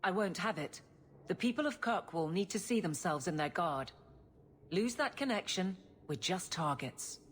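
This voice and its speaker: An actress playing a stern, dutiful captain of the city guard in a video game, brisk and authoritative. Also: female voice, lowish voice, expressive, natural voice. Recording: faint background noise.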